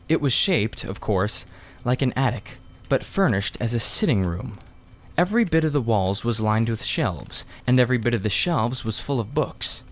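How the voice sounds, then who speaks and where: Man reading a storybook, medium pitch. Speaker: audiobook reader. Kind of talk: scripted storybook narration.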